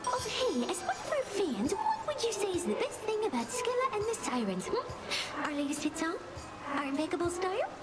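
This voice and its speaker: A very high, wildly swooping siren pop star, bubbly and vain with a sweet threat underneath. It is theatrical game acting over loud music. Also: female voice, wildly swooping pitch, moderate pace, full character voice. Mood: perky boastful. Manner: perky, theatrical. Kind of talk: animated game character acting.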